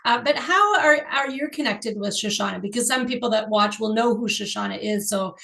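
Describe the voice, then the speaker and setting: A woman's voice: a little high, fairly quick, vocal fry, pushed and bright. A woman from Canada hosting a casual interview over a video call, enthusiastic and curious. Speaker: host.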